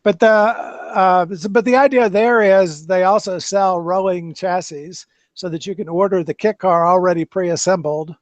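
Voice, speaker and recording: A man's voice: high voice, steady tone, moderate pace, dry recording. A man hosting a casual car chat, talking in loose, rambling sentences with many restarts. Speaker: podcast host. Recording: dull lo-fi dry sound.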